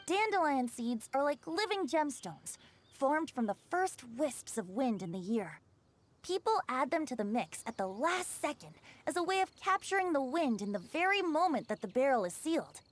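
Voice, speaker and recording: A woman playing a charming, breezy young male bard in a video game, with a theatrical, flowery manner. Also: high-pitched.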